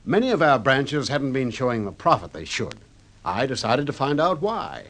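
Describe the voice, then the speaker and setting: A man's voice: medium pitch, sing-song, moderate pace, fairly bright tone. An actor playing a self-important, exasperated millionaire businessman, brusque and emphatic, in a golden-age radio drama. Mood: proud, emphatic. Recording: vintage radio drama recording.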